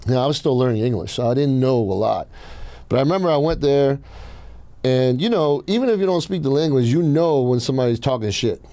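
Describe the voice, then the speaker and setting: A man hosts a casual podcast with a dark, relaxed tone in a very dry acoustic. He is from Iran and tells personal stories bluntly, swearing freely. His pitch sits in an ordinary male range. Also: fairly quick, no room sound. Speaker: podcast host.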